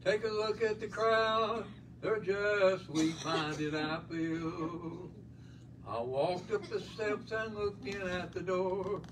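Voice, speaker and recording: A man in a small church recites the words of a gospel song in a slow, halting way. He is heard from some distance, with faint room noise around him. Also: high voice, fairly even intonation, fairly bright tone. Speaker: congregation member reciting a song.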